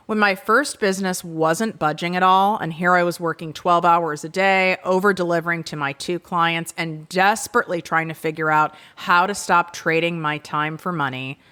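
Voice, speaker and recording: A woman hosting her own podcast, talking frankly and with self-deprecating humor in an energetic solo monologue. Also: on the low side. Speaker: podcast host.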